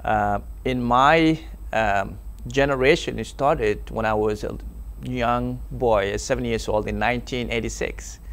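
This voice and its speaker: A young man whose first language is Dinka speaks in a TV studio, telling his story earnestly. He pauses often and fills gaps with "uh" and "um". The sound is clean from a clip-on mic. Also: medium pitch, fairly bright tone. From South Sudan. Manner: earnest, halting, with many fillers. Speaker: interview guest. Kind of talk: personal testimony in a TV interview. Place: TV studio set. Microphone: lapel mic.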